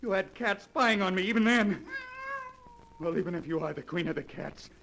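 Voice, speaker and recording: An actor playing a haunted, desperate fiance in a 1940s radio melodrama, with theatrical delivery. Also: male voice, sits high, lively intonation, moderate pace, gravelly, a bit bright, exaggerated voice. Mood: frantic, enraged. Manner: theatrical, brooding narration rising to frantic outbursts. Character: haunted, desperate fiance confessing to his doctor. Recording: noisy old 1940s radio recording.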